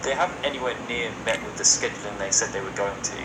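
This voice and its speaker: A man's voice: lowish voice, fast, heavy background noise. A man co-hosting a podcast, casually discussing video game news in long, opinionated explanations. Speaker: podcast co-host.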